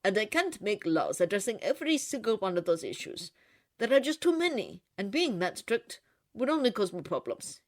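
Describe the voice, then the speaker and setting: A woman voicing an awkward, well-meaning middle-aged man in a storybook reading, in a hesitant, gentle manner. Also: medium pitch, very animated, normal speed. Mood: thoughtful.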